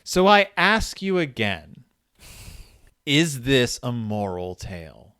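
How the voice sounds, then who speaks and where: A man hosting a casual book discussion, informal and colloquial, retelling and quoting a story in an animated way. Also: medium pitch, slow pace, clean tone, no room sound, studio quality. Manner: animated, acts out quoted dialogue. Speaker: podcast host.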